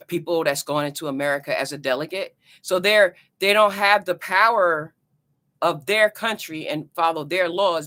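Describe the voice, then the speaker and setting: Woman, new jersey accent, bright voice, casual vlog teaching, rambling asides. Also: fairly low pitch. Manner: chatty, loose and repetitive, many "you know" asides. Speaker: astrology vlogger. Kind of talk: casual teaching talk to camera.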